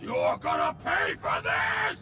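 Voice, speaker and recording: Video game gangster, real low and slow, terse and threatening, music behind. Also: male voice, wildly swooping pitch, bright, forceful tone, raised voice, a little hiss or hum, heavily acted. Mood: panicked anger. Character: menacing, impatient male gangster thug. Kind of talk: video game cutscene acting.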